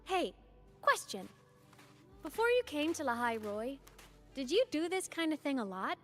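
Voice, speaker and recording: Game role: high-pitched, teasing young female ghost, upbeat and casual, music underneath. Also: sing-song, unhurried, a bit bright, full character voice. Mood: breezy, curious. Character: breezy, playful young female ghost companion. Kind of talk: video game voice acting.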